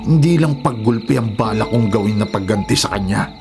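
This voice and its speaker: A man's voice: lowish voice, moderate pace, music in the background, lightly acted. A man playing a vengeful, murderous thug, seething and menacing, in a Filipino radio drama.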